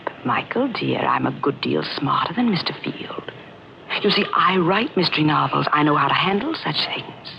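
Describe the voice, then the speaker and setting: An actress playing a jealous, scheming mystery novelist who narrates an old-time radio thriller with melodramatic intensity and dramatic pauses. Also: female voice, sits low, lively intonation, vocal fry, noisy background, heavily acted. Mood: coolly scheming. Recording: muffled old radio recording, loud music.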